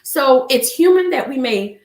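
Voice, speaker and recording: A woman relationship coach chatting casually to a live audience and reading from her book, her voice a little raspy from a cough, with many restarts. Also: high-pitched, expressive, a little slow, a little mellow, reverberant.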